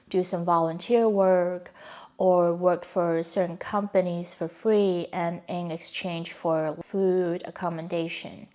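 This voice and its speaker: A woman's voice: on the low side, darkish tone. A young adult woman from China, a native Mandarin speaker with a Chinese accent, telling her life story in a reflective, candid way. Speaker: vlogger. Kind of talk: personal storytelling.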